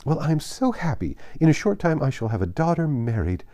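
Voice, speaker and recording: A man voicing an excitable, silly middle-aged mother in a storybook reading, gushing and fluttery with delight. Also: fairly high pitch, fairly quick, pitched above the performer's own voice. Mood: giddy, self-satisfied. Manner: fluttery, exclamatory, breathless rush of delight.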